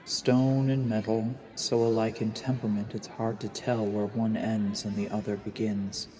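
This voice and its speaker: A man playing a coldly calculating assassin who poses as a lord, narrating gravely and deliberately in a fantasy audio drama. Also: fairly low pitch, little pitch movement, warm tone, a faint crowd, close to an everyday voice. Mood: brooding, menacing.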